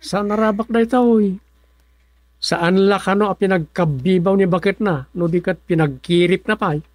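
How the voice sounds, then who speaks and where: A man's voice: sits high, normal speed, no echo, close to an everyday voice. An actor playing an exasperated rural village man on duty, with an Ilocano accent, in a comedic radio drama. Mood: bantering, insistent.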